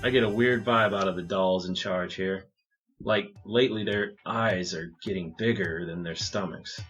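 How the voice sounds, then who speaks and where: A man's voice: low-pitched, normal speed. A man playing a terse, wisecracking loner detective at a bar in a hardboiled comedy audio drama. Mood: wry, weary. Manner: deadpan, terse. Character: jaded, wisecracking male loner detective drinking at speakeasy. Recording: music bed under the voice.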